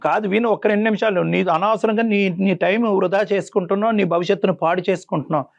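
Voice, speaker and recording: A man's voice: sits high, steady tone, very fast. A man acting as a doctor, counseling a caller on air in an earnest, persuasive way. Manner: earnest, persuasive, pleading repeatedly. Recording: dull lo-fi sound.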